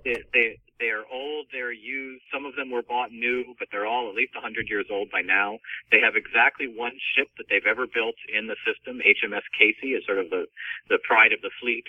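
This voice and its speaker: A dull, band-limited connection carries a man's fairly low voice from a little way off the mic. He explains things in an interview, choosing his phrases in a measured, somewhat hesitant manner. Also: breathy edge, no echo. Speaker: author interviewed about a novel. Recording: muffled band-limited call, distant.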